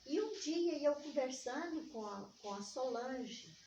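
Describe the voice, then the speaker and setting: An older woman with a Minas Gerais accent, telling personal stories in conversation and acting out remembered dialogue in an animated way. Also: sits high, a little slow. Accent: Brazilian Portuguese from Minas Gerais. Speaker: interviewee, community organizer. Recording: echoey room, distant mic, dull, faint noise.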